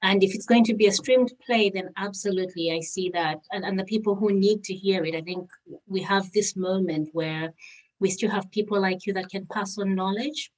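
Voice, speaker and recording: A woman's voice: medium pitch, normal speed. Distant-sounding woman hosting an interview. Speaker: interviewer and podcast host. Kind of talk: conversational interview. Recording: distant from the mic.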